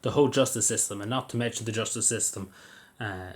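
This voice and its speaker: A man's voice: lowish voice, fast talker. An Irish man giving his opinions in a casual vlog, rambling with frequent restarts and fillers. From Ireland. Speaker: vlogger. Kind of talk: opinion commentary to camera.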